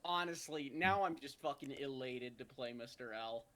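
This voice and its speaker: A man's voice: mid-range voice, normal speed, crowd noise. A man chatting casually and bluntly after a group voice-acting session, swearing freely. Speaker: amateur voice actor. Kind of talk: casual post-show chat.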